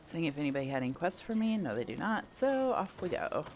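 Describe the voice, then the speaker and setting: A woman's voice: low-pitched, sing-song, quick pace, a little hiss or hum. A woman doing casual playthrough commentary, thinking aloud and asking herself questions as she goes. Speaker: gameplay host.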